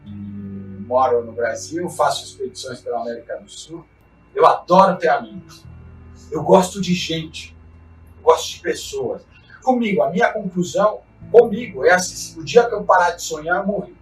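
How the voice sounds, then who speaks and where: A man's voice: a little high, expressive, normal speed. A man from Chile, a mountaineer giving an earnest, reflective motivational talk and often tagging his sentences with short questions. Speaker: mountaineer and expedition guide. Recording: roomy sound from a distance with faint music.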